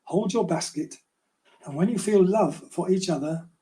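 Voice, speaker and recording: An older man voicing a calm, wise old woman who gives instructions in a children's storybook. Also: sits high, moderate pace, close to an everyday voice. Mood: kindly. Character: kindly, wise old woman guide in magic garden.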